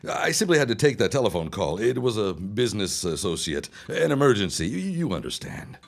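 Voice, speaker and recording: A scheming young son, acted by a man in an audio drama. His voice sits low but moves freely up and down, with formal phrasing and a wry, arch edge. He is dry and smooth in his manner. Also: very animated, fairly quick. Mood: suave. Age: young adult.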